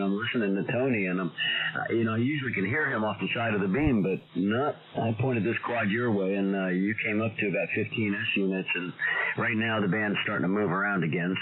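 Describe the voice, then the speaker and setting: An American radio operator with a low voice talks with enthusiasm and laughs between phrases. He comes through a thin, narrow radio channel, with loud chatter close behind him. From USA. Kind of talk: casual radio chat.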